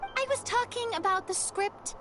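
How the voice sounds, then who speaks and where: A caring, curious young woman from a video game. Her voice is high and expressive, and music plays clearly behind her. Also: normal speed. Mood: curious, musing. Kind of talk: video game character voice acting.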